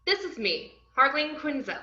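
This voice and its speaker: A roomy, slightly dull recording of a brash, unhinged young antiheroine, played by a woman in a table read. She tells her own story in a bright, cheeky voice that swoops all over. It is bubbly and expressive. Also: high voice, moderate pace, heavily acted. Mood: breezy. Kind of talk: first-person scripted narration in an amateur table read. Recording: roomy, muffled online call audio.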